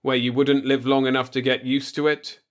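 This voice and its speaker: A man's voice: mid-range voice, flat delivery, moderate pace, clear, resonant tone, natural voice. A man voicing a stern, distrustful young spaceship captain in a sci-fi audiobook, blunt and sardonic but earnest. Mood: wry, alert.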